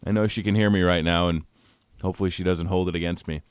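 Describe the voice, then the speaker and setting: A man's voice: deep voice, steady tone, fast, smooth, dry recording, studio quality. A man hosting a radio show, eager and a little nervous, talking like a fan to his guest. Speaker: radio host. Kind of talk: radio interview.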